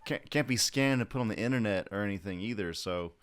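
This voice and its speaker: A man with a lowish, smooth and resonant voice co-hosts a podcast. He chats casually and comments in a joking way. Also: quick pace. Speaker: podcast co-host.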